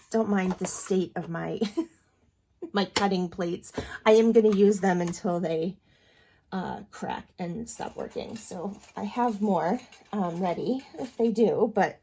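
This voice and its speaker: A woman's voice: mid-range voice, slow pace, warm tone, slight background noise. A woman hosting a crafting tutorial at home, chatting casually with lots of ums and asides, a little sniffly from allergies. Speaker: crafting channel host.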